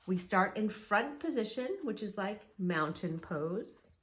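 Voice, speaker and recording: A woman's voice: mid-range voice, lively intonation, takes it slowly. A woman voicing a kind, reassuring young boy, an older brother in a children's storybook, speaking in an earnest, encouraging way.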